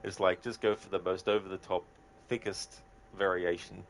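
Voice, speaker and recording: A man chatting casually and haltingly as he live-streams a video game, musing aloud to viewers. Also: deep voice, flat delivery, a little hiss or hum. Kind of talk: casual chat while gaming.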